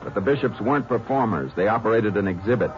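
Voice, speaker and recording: A man playing a steady, methodical Texas Ranger lawman, plainspoken and brisk in a 1950s western radio drama. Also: on the low side, lightly acted. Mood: businesslike. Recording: muffled old-time radio recording with music.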